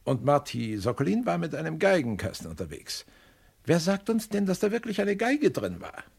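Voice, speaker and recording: A man's voice: fairly high pitch, very animated, moderate pace, vocal fry, a little mellow, breathy edge, heavily acted. An actor playing a dry, sardonic police detective in a German crime radio play, probing as he talks. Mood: wry, determined. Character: dry, wry male police detective questioning witnesses.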